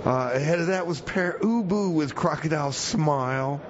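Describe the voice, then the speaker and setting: Male actor as hapless, easygoing jail-radio DJ: slightly high voice, halting slacker delivery, muffled noisy recording. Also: close to an everyday voice. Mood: rambling. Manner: hesitant, rambling, slacker drawn-out talk. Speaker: radio DJ host. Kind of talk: comedy radio theater, rambling song back-announcing. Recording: dull lo-fi radio sound, noisy background.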